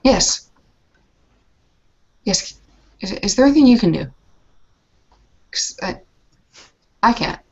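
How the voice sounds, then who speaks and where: A woman taking part in a tabletop roleplay, talking softly and hesitantly with many ums. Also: mid-range voice, animated, slow, pauses often, slightly rough, dull audio. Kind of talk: casual roleplay talk.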